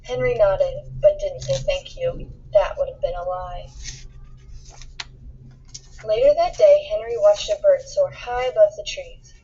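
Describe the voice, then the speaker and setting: Female narrator for a children's read-aloud, animated intonation, slightly husky voice, emphatic on dialogue, modest lo-fi sound. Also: a little high, unhurried, long pauses. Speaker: storybook reader. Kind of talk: expressive read-aloud of a children's picture book.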